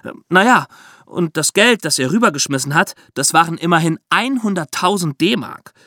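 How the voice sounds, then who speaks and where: A man with a fairly high voice and very lively intonation tells history like a story to a friend. He explains as a podcast host in an engaged, conversational way, recorded close and dry. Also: moderate pace, no room sound.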